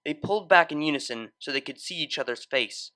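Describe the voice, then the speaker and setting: A man's voice: fairly high pitch, lively intonation, a little fast, pushed and bright, no room sound. A man narrating a scripted story in a dramatic, literary style. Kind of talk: expressive scripted fan fiction narration.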